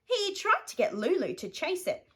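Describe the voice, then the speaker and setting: An Australian woman reading a children's picture book aloud, animated and dramatic with playful emphasis. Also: very high voice, normal speed. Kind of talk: expressive children's bedtime story reading.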